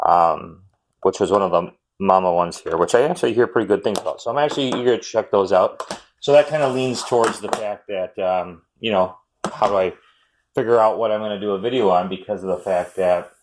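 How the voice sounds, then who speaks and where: A man, chatting casually and rambling about a game haul with lots of ums and self-corrections; he says his voice runs fairly high. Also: a little mellow. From Wisconsin, US. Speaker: retro game collector vlogger.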